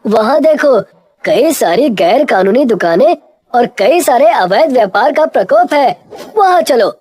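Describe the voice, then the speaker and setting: A woman's voice: fairly high pitch, expressive, unhurried, a little mellow, pitched above the performer's own voice. A woman voicing an earnest, puzzled village man in a cartoon moral story, with an urgent, questioning delivery. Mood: eager.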